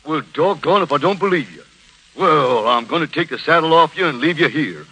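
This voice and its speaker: A man's voice: fairly high pitch, lively intonation, a little fast, sharp tone, husky, exaggerated voice, rough recording. A man playing a brash, childlike wild cowboy raised by coyotes, with folksy talk, in a 1940s radio western. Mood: plain. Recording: vintage 1940s radio recording.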